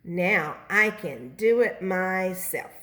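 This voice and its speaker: A woman's voice: fairly low pitch, animated, takes it slowly, a bit bright. Lady doing a grumpy bossy bean guy from a kids storybook, snappy n scolding. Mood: stubborn. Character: bossy, short-tempered male bean who snaps at everyone.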